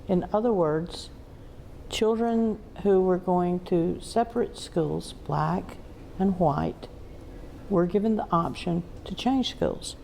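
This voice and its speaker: A woman giving an unscripted museum tour, telling stories in halting, emphatic phrases. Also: lowish voice, vocal fry, mellow, a little hiss or hum. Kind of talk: unscripted guided tour talk. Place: inside a museum.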